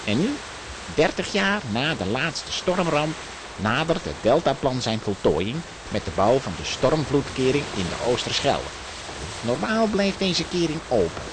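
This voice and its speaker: An actor playing a courteous, proud and easily piqued engineer in a children's audio drama, formal and explanatory. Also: male voice, a little high. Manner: formal, explanatory, self-important. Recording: noisy audio drama recording, hissing ambience.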